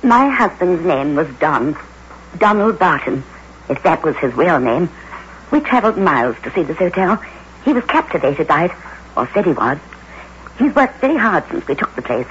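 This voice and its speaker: A woman's voice: on the low side, very animated, normal speed. A woman acting an anxious, haunted innkeeper's wife in a dramatic, fretful, old radio drama style. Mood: brooding, haunted. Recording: noisy, muffled old radio recording.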